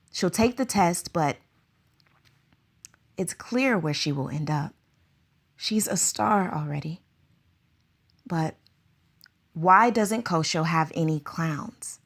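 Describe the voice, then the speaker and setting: A woman's voice: lowish voice, exaggerated voice. A woman playing an anxious, earnest circus teacher in a radio drama, asking question after question.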